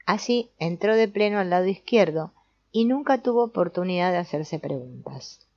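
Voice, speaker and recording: A woman reading an audiobook as narrator in a measured, explanatory way. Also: lowish voice, animated, darkish tone. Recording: dull, lo-fi sound.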